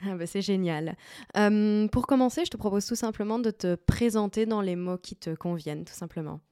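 A woman's voice: medium pitch, moderate pace, mellow, clear, resonant tone. A woman hosting a podcast, asking questions in a chatty way with fillers. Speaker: podcast host. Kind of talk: casual interview chat.

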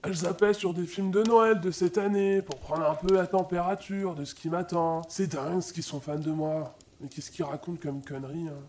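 An actor playing a grumpy, bumbling, old-fashioned Santa Claus who talks colloquially and hesitantly in a comedic audio drama. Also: male voice, fairly high pitch, fairly even intonation, moderate pace, hardly any pauses, a little mellow, slight background noise, lightly acted. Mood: grumbling, baffled. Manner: colloquial, hesitant grumbling, easily swayed. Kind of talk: comedic audio fiction acting.

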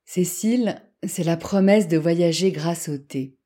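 A woman with a low, dark-toned voice narrates a podcast from a script. Her delivery is deliberate and measured.

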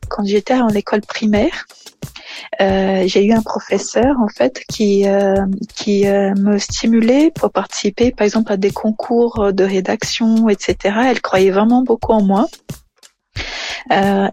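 A woman's voice: mid-range voice, mellow. A woman from Brazil, an engineering manager answering interview questions hesitantly with many fillers and restarts.

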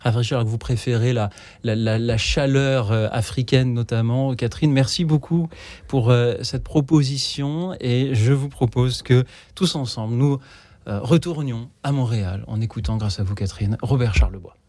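Host: man, mid pitch, halting with fillers, close and dry studio sound. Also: darkish tone, no echo. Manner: hesitant, many fillers. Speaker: radio host. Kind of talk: radio call-in hosting.

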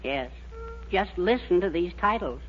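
A man's voice: high voice, lively intonation, unhurried, husky, heavily acted. An actor playing a genial, long-suffering middle-aged father in a 1940s radio sitcom, theatrical and wry. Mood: weary, wry. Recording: dull, humming old radio recording.

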